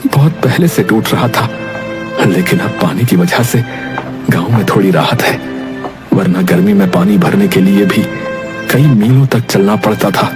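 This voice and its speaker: A man voicing a hardworking village man who talks softly and earnestly in a cartoon story. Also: fairly low pitch, measured pace, music in the background, lightly acted. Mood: wistful. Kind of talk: cartoon voice acting.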